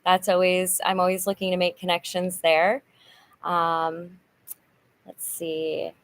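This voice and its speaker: A woman's voice: on the low side, completely flat delivery, takes it slowly. A middle-aged woman hosting a casual livestream chat, upbeat and chatty, with many fillers and restarts. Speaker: channel host, nonprofit volunteer. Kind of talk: casual livestream chat reading viewer comments.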